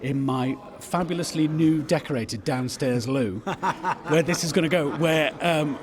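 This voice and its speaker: A man's voice: a little high, unhurried. A man chatting casually in a jokey, hesitant way at a busy event. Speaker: award winner interviewed. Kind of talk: casual chat. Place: busy theatre at an awards event. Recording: busy crowd chatter behind.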